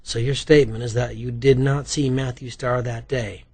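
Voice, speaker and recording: A man voicing a tough, curt woman police detective, brisk and probing, in an audiobook. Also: mid-range voice, steady tone, darkish tone, slightly rough. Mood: hard, coaxing.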